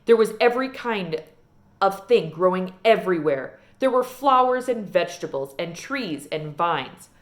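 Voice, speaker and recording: Animated woman reading a storybook. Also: on the low side, normal speed. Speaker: read-aloud reader. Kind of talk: expressive storybook narration.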